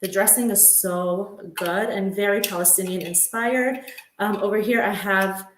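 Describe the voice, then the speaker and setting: A woman's voice: mid-range voice, fairly even intonation, a little slow, slight background noise. Casual woman cook demoing a recipe, roomy kitchen sound. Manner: chatty, with frequent ums and laughs. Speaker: home cook demonstrating a recipe. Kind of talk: casual cooking demo. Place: home kitchen.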